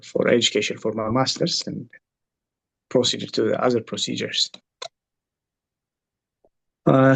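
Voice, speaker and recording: A man's voice: mid-range voice, gravelly, poor audio. A man in his forties from Ethiopia, speaking hesitantly as a lawyer taking part in an online workshop. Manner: hesitant, with many uhs and repeats. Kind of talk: casual chat.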